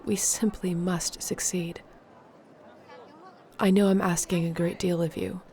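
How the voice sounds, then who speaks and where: Woman as determined fantasy sorceress, mellow and low, measured formal phrasing, earnest, intimate audio drama, faint background noise. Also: flat delivery, natural voice. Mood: solemn. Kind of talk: intimate audio roleplay acting, speaking to one listener.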